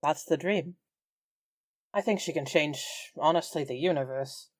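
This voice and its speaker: A woman's voice: low voice, unhurried, no echo, studio quality. A woman playing a tabletop roleplaying game, jokily narrating her character's actions in casual banter.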